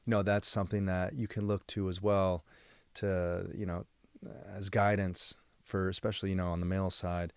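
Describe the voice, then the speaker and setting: Low-voiced rambling podcast host, a man. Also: little pitch movement, moderate pace, clean tone, dry recording. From San Diego, United States. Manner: rambling, reflective, leans on "you know" as he thinks aloud. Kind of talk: casual interview conversation.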